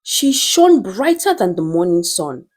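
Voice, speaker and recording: A woman narrating a scripted story in a deliberate, dramatic storytelling style. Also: on the low side, sing-song, a little mellow, soft background music.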